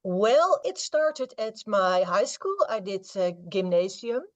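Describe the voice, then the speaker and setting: A woman in her fifties from the Netherlands with a Dutch accent, a literary translator answering interview questions thoughtfully, with frequent pauses and fillers. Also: mid-range voice, expressive, muffled recording.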